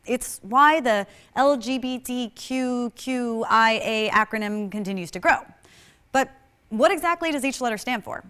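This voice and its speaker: Sassy woman host with a higher voice. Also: a little slow, fairly bright tone, clear, resonant tone. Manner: sassy and wry. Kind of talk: scripted narration.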